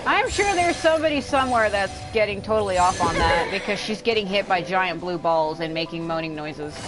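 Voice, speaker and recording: Female game streamer, mid-pitched, blunt casual complainer who curses freely, clear music bed underneath. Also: low-quality recording. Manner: blunt, frequent swearing; rambling, complaining. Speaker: livestreamer playing a video game. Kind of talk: casual gameplay commentary.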